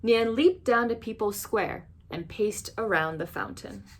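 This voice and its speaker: A woman reading a children's storybook aloud as an expressive narrator. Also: fairly high pitch, unhurried. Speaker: storybook reader.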